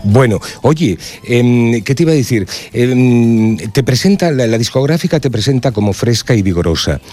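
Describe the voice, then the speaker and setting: A man's voice: mid-range voice, lively intonation, moderate pace, warm tone, soft background music. A man hosting a casual radio interview, chatty and hesitant, with lots of fillers. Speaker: radio host.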